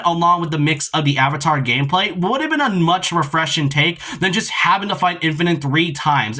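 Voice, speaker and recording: A man's voice: fairly high pitch, sharp tone. A man giving casual, loosely worded opinion commentary as the host of a video essay, in an earnest, informal way.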